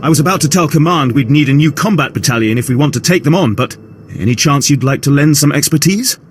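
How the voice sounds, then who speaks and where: An actor playing a brusque, battle-weary Imperial army field officer in a video game cutscene. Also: male voice, on the high side, expressive, fast, faint music behind, natural voice. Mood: frank, businesslike. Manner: brusque, military. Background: game score.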